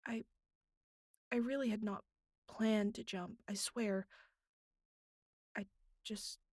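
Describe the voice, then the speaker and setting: A woman playing a bratty but vulnerable young captive in an intimate audio roleplay, speaking haltingly with pleading, pouty turns and soft breathy asides. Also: medium pitch, steady tone, no room sound, clean studio sound. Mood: sad, fragile.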